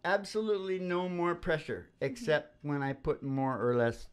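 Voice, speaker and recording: A man's voice: a little high, expressive, normal speed, clean tone. A man in his sixties hosting a live acoustic show, chatting casually and jokingly in a rambling way, often chuckling and interrupting himself. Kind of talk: casual, joking chat between songs. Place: small wood-panelled room, indoors. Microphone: stand microphone, seated with guitar.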